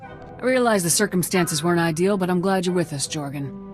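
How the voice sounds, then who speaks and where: A woman's voice: on the low side, lightly acted. Woman as a self-assured military commander, music behind. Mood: calm, questioning. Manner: measured, formal, self-assured. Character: composed, confident young squad commander. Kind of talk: video game dialogue acting. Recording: clear background music under the voice.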